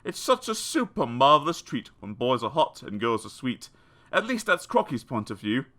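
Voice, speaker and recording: A man narrating children's comic poems in a theatrical, expressive storytelling style. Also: medium pitch, moderate pace. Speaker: audiobook narrator. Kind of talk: dramatic children's poetry reading.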